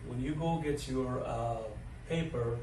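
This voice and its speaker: An older man from Morocco recounts his life in an unhurried, rambling way, dotted with ums and soft laughs. The recording is distant and roomy, with a faint hiss underneath. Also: medium pitch. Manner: rambling, chuckles often, many fillers. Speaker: interview guest, dining director. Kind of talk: conversational life-story interview. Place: large learning center room. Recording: echoey room from a distance, faint noise.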